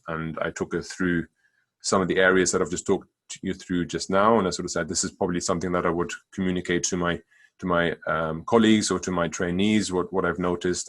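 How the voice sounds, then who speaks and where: Middle-aged German-accented doctor, very low pitch, giving a halting, stop-start talk. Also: male voice. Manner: halting, many restarts and repeated words. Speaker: palliative care doctor. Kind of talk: conference talk with slides.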